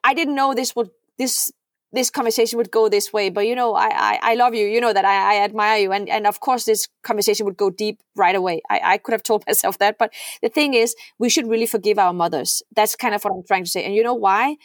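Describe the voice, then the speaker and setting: A woman's voice: mid-range voice, steady tone, brisk, no room sound, studio quality. A middle-aged woman from Denmark, a podcast guest talking earnestly, often repeating words and checking in with "right?". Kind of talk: heartfelt podcast conversation.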